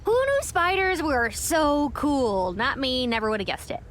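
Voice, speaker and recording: Spirited game heroine, highish pitch, animated, faint ambience noise behind. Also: female voice, measured pace, exaggerated voice. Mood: playful. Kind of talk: video game character voice line.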